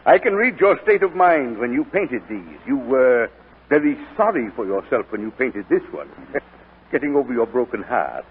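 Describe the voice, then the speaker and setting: An actor playing an effusive, affectionate art dealer with a theatrical manner in a 1940s radio drama. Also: male voice, mid-range voice, expressive, moderate pace, husky. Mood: confiding. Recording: noisy, tinny 1940s radio recording.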